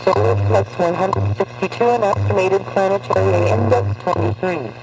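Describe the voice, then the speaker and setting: A man formally reading a scripted bulletin over shortwave radio, measured and impersonal. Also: high voice, vocal fry. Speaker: radio time station announcer. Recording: distant shortwave broadcast, noisy static.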